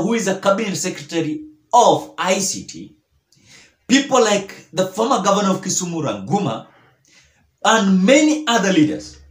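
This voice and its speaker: A young man with a Kenyan accent gives emphatic political commentary to camera, repeating phrases for weight. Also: high-pitched. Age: young adult. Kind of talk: direct-to-camera news commentary. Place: small bare-walled room indoors. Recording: echoey small room.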